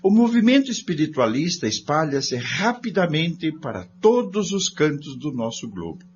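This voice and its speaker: A man's voice: fairly high pitch, very animated, moderate pace. A man with a Brazilian accent narrating a scripted radio drama, addressing the listeners. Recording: dull lo-fi audio with background noise.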